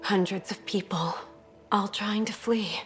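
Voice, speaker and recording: A woman's voice: medium pitch, fairly even intonation, measured pace, soft background music, close to an everyday voice. A woman playing a weary alien junior officer, a refugee survivor, in video game cutscene dialogue. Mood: haunted.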